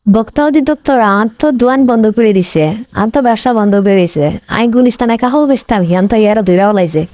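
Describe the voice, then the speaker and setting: A woman acts out a dramatic, blaming cartoon wife with a highish voice. She scolds and wails at a very quick clip in a regional Bangladeshi Bengali dialect. The sound is close and dry. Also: a little mellow, no room sound, dull audio, close to an everyday voice. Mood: panicked, wailing. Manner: wailing, scolding, repetitive complaining. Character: shrill, dramatic, blaming wife and shop-owning boss. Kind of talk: comic cartoon dialogue.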